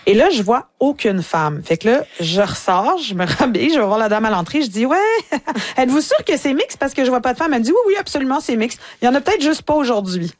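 A woman's voice: fairly high pitch, very animated, fast, no room sound. A Canadian woman with a Quebec French accent, a touring performer telling a comic story and acting out the voices of people she quotes. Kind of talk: comic anecdote in conversation.

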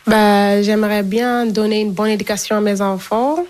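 A woman's voice: mid-range voice, steady tone, moderate pace, a little mellow. A young woman being interviewed, giving a casual, personal account of her own life. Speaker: interviewee in a radio documentary.

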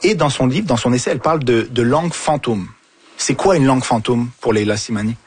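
Husky-voiced male talk show host. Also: medium pitch, fairly quick, no room sound. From Africa. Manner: informal, prompting guests with questions. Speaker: host of a radio round-table. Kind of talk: casual panel discussion. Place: dry studio round table.

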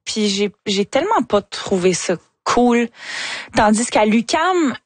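A woman's voice: fairly high pitch, sing-song, slow pace, no echo. A young Québécoise woman, a singer and host, chatting in an interview, bubbly and self-mocking with many restarts. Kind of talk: casual interview chat.

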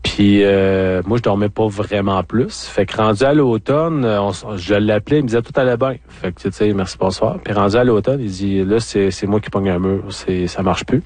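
A man's voice: lowish voice, normal speed, hardly any pauses, a little mellow, dry recording. A man with a Quebec French accent, telling a personal story as an interview guest in a candid, colloquial way. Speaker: interview guest, former farmer.

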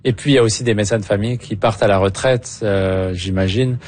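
A man interviewing a guest, asking measured, probing follow-up questions. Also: on the low side, a little mellow. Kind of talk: radio interview.

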